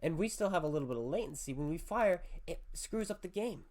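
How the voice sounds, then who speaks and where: A man's voice: on the high side, lively intonation, fast talker, a little mellow. A man hosting a casual podcast, joking about himself and floating offhand theories. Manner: joking, self-mocking, theorizing. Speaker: podcast host.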